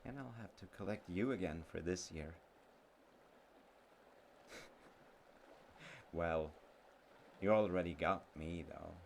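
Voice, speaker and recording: A sweet, sincere young-at-heart sweetheart, acted by a man in a romantic audio roleplay, with a very low voice full of gravel. He talks slowly and haltingly, tender and earnest toward an old friend he loves. Clear watery ambient noise runs behind him. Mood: loving, earnest. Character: sweet, slightly slow childhood friend, male, sincerely in love.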